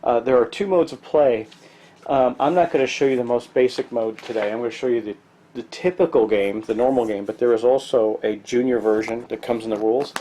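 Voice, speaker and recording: Stuffy, mellow-voiced man. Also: mid-range voice, fairly even intonation, brisk. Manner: rambling, many ums and restarts. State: stuffy from allergies. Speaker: board game reviewer. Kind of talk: casual tabletop game walkthrough.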